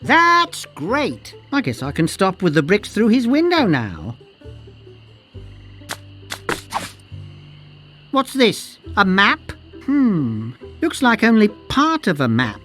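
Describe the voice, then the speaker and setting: A man's voice: high-pitched, huge pitch swings, takes it slowly, a bit bright, faint music behind, heavily acted. A man voicing a tiny, fussy, timid male cartographer in a pirate video game, easily indignant. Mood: mild, businesslike.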